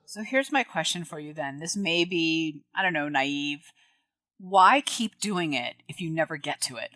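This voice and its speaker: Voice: medium pitch, lively intonation, sharp tone, no echo. A podcast host giving an upbeat, chatty introduction and then interviewing a guest. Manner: chatty, upbeat, quick laughs. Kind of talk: casual interview intro and chat.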